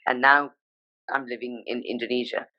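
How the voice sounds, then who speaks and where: Woman guest, Nepali first language, lively and self-deprecating, muffled video-call sound. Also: a bass voice, moderate pace. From India (Sikkim). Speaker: guest, fashion journalist. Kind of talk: conversational interview over live video.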